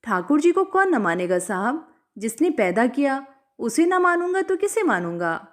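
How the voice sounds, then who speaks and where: A humble, devout young village man in a story reading, voiced by a woman. He sounds earnest and respectful. The pitch is high and moves a great deal. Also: sing-song, moderate pace.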